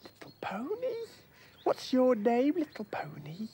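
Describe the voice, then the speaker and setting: A woman's voice: a little high, huge pitch swings, measured pace, mellow, slight background noise. A woman playing an eager, innocent little boy rag doll in a children's puppet show, with a simple, wondering, childlike delivery. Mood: gentle wonder.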